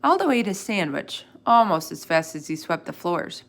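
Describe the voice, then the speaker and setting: A woman narrates a children's book aloud. Her delivery is expressive and swings widely in pitch. There is a noticeable creak in her voice as she moves through the story. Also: moderate pace. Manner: animated, acts out dialogue. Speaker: storybook reader.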